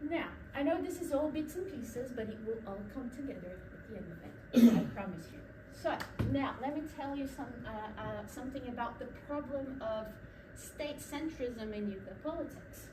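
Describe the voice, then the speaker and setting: A young Brazilian academic woman gives a conference presentation with a Brazilian accent touched by New Zealand vowels. Her voice sits a little high, and she often pauses on ums and restarts as she tells her story. She is picked up from across an echoey room, with noise in the background. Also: animated, distant mic. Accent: Brazilian with a New Zealand tinge. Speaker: academic speaker. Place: lecture room.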